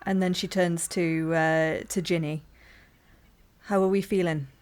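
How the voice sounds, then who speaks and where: Low-voiced woman narrating roleplay. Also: steady tone, a little slow, a little mellow. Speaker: roleplaying game player. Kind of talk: improvised third-person narration with dialogue.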